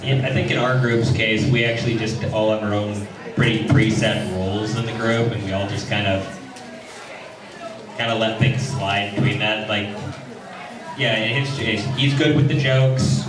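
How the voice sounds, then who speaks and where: A man's voice: fairly low pitch, fairly even intonation, normal speed, crowd noise. A man speaking casually on a convention panel in a hesitant, understated way. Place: large echoing convention panel room. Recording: echoey hall, distant mic, music behind.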